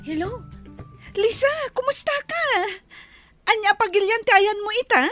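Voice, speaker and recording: Woman as chatty female friend, very high voice, concerned, radio drama acting. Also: animated, moderate pace, fairly bright tone, faint music behind. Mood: curious.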